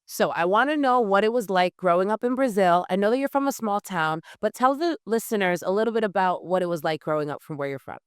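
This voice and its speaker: A woman's voice: medium pitch, expressive, fast talker, hardly any pauses, smooth, clean studio sound. A woman hosting a conversational podcast interview, encouraging and often echoing what her guest says. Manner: encouraging, echoes guest's answers.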